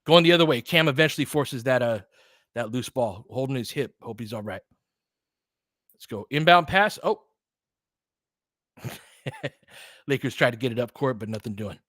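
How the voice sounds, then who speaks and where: A man in his forties doing casual play-by-play of a basketball game, chatty and excitable, thinking aloud between plays. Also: medium pitch, moderate pace, long pauses, no room sound, studio quality. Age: 40s. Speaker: play-by-play host. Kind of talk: casual fan play-by-play commentary.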